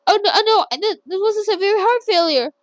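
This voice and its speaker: A woman's voice: very high-pitched, fast talker, no echo, pitched above the performer's own voice. A woman voicing a spoiled, panicky young woman who stammers and squeals. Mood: panicked.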